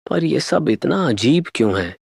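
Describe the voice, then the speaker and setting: A man's voice: mid-range voice, very animated, a little slow, gravelly, slightly rough, dry recording, studio quality, heavily acted. A man playing a sceptical, questioning young man back in his home village in a horror story. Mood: questioning, resolute. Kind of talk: dramatized horror story dialogue.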